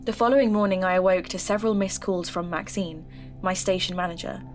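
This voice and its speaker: A woman playing an earnest, determined podcaster, narrating a mystery audio drama in a measured, confiding way. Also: on the low side, fairly even intonation, a little mellow, soft background music, lightly acted. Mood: confiding, wounded. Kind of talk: scripted first-person audio drama narration.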